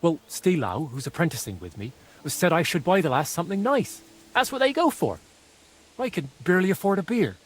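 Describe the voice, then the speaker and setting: A man's voice: a little high, very animated, a little fast. An actor playing a naive, simple-hearted young craftsman's apprentice in a video game, artless and hesitant when pressed. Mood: sheepish. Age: young adult. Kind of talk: video game dialogue acting.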